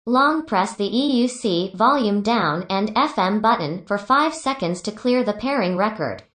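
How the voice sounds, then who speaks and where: A woman's voice: mid-range voice, lively intonation, measured pace, a bit bright, roomy sound. A woman giving scripted product tutorial narration in clipped, step-by-step instructions.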